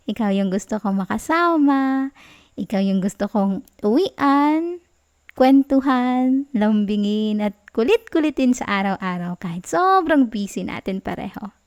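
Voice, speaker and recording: A woman plays a sweet, clingy girlfriend with a Filipino accent in an ASMR roleplay. Her voice sits a little high and moves very slowly. She coos and teases softly, affectionate with a playful sulk. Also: exaggerated voice. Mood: playful, reassuring. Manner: soft, cooing, teasing, giggly.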